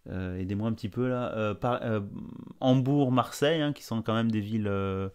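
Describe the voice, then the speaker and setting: Chatty man hosting, smooth clear voice. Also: fairly low pitch, normal speed, darkish tone. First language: bilingual French-Spanish. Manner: chatty, hesitant with many fillers and digressions. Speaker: podcast host. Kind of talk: casual interview chat.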